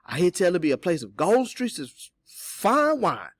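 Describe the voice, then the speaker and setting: A man's voice: sits high, wildly swooping pitch, moderate pace, no echo, clean studio sound, voice pushed up, heavily acted. A man voicing an old enslaved Southern man in an audiobook, sly and hopeful, giving urgent, folksy counsel. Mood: cautioning. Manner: whispering Southern dialect speech, urgent and folksy.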